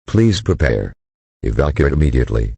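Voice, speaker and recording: A man's voice: a bass voice, sing-song, normal speed, creaky, noisy background. A man delivering a scripted emergency broadcast announcement in a formal, stilted manner.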